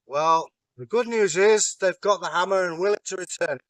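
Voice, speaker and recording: A sly, wheedling trickster god, as a storyteller puts him on, all chummy cheek and smooth talk. He pitches his voice up a touch, close and dry. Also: normal speed, a bit bright, no echo, rough recording. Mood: persuasive.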